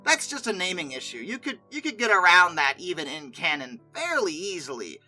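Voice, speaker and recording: A host giving casual, opinionated commentary in an informal, chatty way, expressive and given to exasperated mock disbelief. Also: medium pitch, fairly quick, soft background music. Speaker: video host and narrator.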